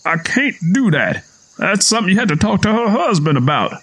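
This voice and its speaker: A man's voice: high-pitched, very animated, fairly quick, sharp tone, pitched above the performer's own voice, heavily acted. A man voicing a wary rural Southern father in an audio story, folksy and defensive as he talks. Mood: uneasy, firm. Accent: American South.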